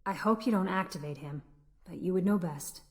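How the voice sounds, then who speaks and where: An actress playing a deferential crew assistant aboard a spaceship in a video game. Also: female voice, on the low side, brisk, lightly acted. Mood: uneasy.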